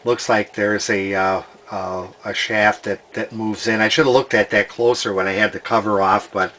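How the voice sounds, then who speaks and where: Male hobbyist demonstrator, slightly low pitch, bright edge, casual and hesitant delivery. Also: fairly even intonation, normal speed. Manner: hesitant, thinking aloud. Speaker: hobbyist radio restorer presenting a repair video. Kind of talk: casual hands-on demonstration commentary.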